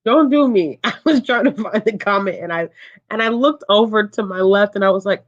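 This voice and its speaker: A book channel host chatting casually on a livestream, reading out viewer comments in a calm, low voice, relaxed and laughing often with lots of ums. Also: brisk, muffled recording.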